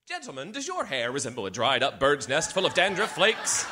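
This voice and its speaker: A man's voice: fairly high pitch, wildly swooping pitch, fairly quick, bright, forceful tone, full character voice. A man acting a peppy, folksy 1940s-style radio announcer and storyteller in a live radio play. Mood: peppy salesman. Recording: live stage with music underneath.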